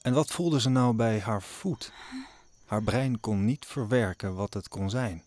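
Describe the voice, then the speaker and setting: A man's voice: lowish voice, a little slow, darkish tone. A man narrating an audio drama as a scripted, dramatic story. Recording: music under the narration.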